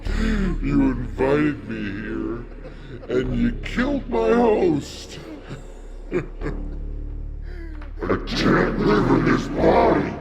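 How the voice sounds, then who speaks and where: An actor playing a taunting, body-snatching monster in a horror audio drama, mocking and drawing out the words. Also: male voice, a little high, big pitch swings, heavy background noise, heavily acted. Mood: mocking, accusing. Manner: slow, drawn-out, mocking.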